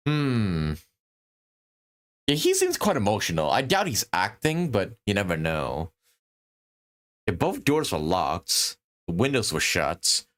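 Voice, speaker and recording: A man's voice: fairly low pitch, huge pitch swings, slow, long pauses, fairly bright tone. A man streaming a game, thinking aloud in casual commentary and reading on-screen text. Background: game music.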